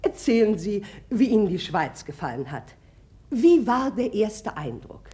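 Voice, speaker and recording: A woman's voice: mid-range voice, very animated, unhurried, warm tone. A woman playing a middle-aged, impulsive, commanding society mother in a radio drama, talking effusively and abruptly. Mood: insistent.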